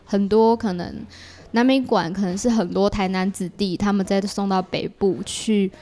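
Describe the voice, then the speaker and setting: A woman's voice: mid-range voice, fairly even intonation, normal speed, dark tone. A woman with a Taiwanese Mandarin accent, explaining things conversationally as an interview guest in long, run-on sentences. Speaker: podcast guest, audio drama creator.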